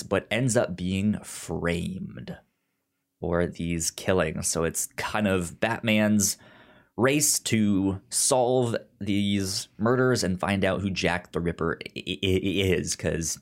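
Slow, halting male podcast co-host. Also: fairly low pitch. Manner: halting, stammering, repeats words and syllables. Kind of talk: casual chat, summarizing and reviewing a comic.

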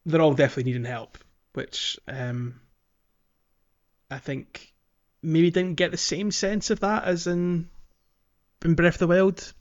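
A man's voice: a little high, fairly even intonation, a little slow, muffled recording. A man co-hosting a casual video game podcast, talking in a hesitant way as he searches for his words. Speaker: podcast co-host.

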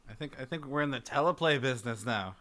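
A man's voice: mid-range voice, quick pace, pushed and bright. A man hosting a podcast in casual, joking chat, with a hesitant, dry sense of humor. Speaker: podcast host. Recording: faint background noise.

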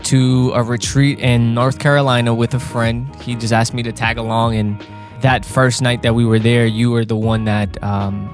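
A man's voice: medium pitch, flat delivery, normal speed, soft background music. A man hosting a podcast, introducing and interviewing a guest in a friendly, upbeat, casual way. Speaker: podcast host.

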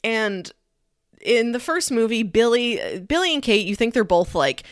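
A woman's voice: a little high, sing-song, a little slow, dry recording, clean studio sound. A woman co-hosting a film podcast in casual chat, acting out bits of dialogue as she retells scenes. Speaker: podcast co-host. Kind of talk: casual chat about films.

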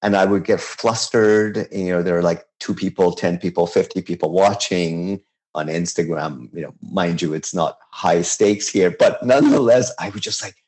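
A man's voice: sits low, a little mellow. A man in his fifties from Iran, a chef talking as an interview guest in a casual, reflective way, often restarting his sentences. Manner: reflective, halting, with frequent restarts and light laughs.

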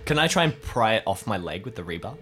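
A man improvising as a player in a tabletop audio drama, casual and animated. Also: lowish voice, fast talker. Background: music.

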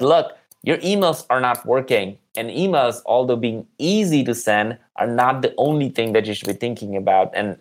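Man, medium-pitched voice, earnest, emphatic, conversational interview guest. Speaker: marketing executive guest.

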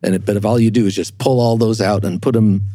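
A man speaking as an expert guest in a podcast discussion, explaining things in a halting way with repeats and rephrasing. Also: on the low side, steady tone, dark tone, no room sound. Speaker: guest church historian.

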